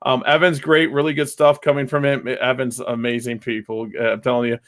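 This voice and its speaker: A man hosting a casual podcast chat, talking loudly and rambling with many fillers, his mic set about two feet away. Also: medium pitch, little pitch movement, brisk. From Wisconsin. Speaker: podcast host.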